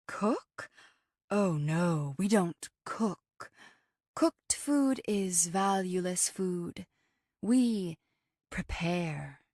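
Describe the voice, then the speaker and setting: A woman narrator plays a humorless, purist health-food woman in a storybook reading. She speaks slowly and preciously, with airy earnestness and a haughty edge. The sound is close and dry. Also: on the low side, lively intonation, darkish tone, no room sound, exaggerated voice. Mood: airy, earnest. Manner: haughty, precious, drawn-out phrasing.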